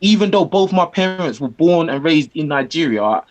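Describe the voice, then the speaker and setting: A man's voice: fairly high pitch, lively intonation, normal speed, highs cut off. A man from England, talking as a podcast guest and checking in often with "do you know what I mean". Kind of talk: casual podcast discussion.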